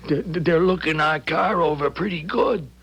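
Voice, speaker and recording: An actor playing a timid, whiny, dim-witted crook's sidekick in a 1970s radio drama, stammering and hesitant. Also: male voice, a little high, normal speed, husky, muffled recording. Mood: panicky. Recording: noisy 1970s radio broadcast recording.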